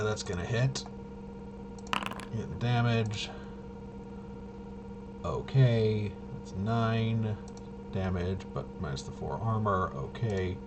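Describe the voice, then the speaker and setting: A man's voice: lowish voice, gravelly, noisy background. A man giving casual play-by-play of a tabletop roleplaying game, muttering and thinking aloud as he goes. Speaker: solo tabletop game player and host.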